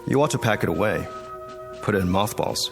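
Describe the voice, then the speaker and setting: A man's voice: fairly low pitch, lively intonation, fairly quick. An actor playing a guarded, polite young man with an American accent in a radio drama, speaking in a reserved, terse way. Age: young adult. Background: background music.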